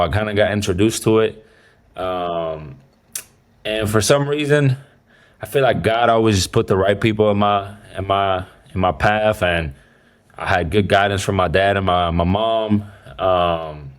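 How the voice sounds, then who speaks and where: Twenties male athlete guest, low-pitched, easygoing, rambling, full of fillers. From Dominican Republic and Belgium. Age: 20s. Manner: relaxed, rambling, many fillers and restarts. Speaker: professional basketball player, guest. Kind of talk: casual interview answers.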